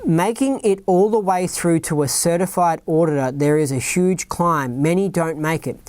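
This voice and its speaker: Australian-accented podcast host, mid pitch, dry close mic, reads a script then drifts into chatty asides. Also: normal speed, darkish tone, dry recording. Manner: rambling, keeps asking "right?" and "you know what I mean?". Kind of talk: reading a written account aloud with off-script asides.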